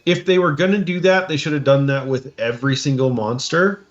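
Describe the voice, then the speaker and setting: A man co-hosting a podcast in casual chat, speaking haltingly and often checking for agreement. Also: a little high. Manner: halting, seeks agreement with tags. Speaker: podcast co-host giving reactions. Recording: slightly dull, lo-fi audio.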